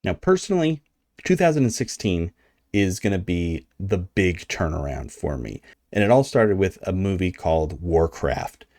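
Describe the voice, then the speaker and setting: Deep-voiced American man, casual commentary. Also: sing-song, moderate pace, a little mellow, no echo, clean studio sound. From United States. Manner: conversational, informal, wry. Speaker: video host. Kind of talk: casual video essay commentary.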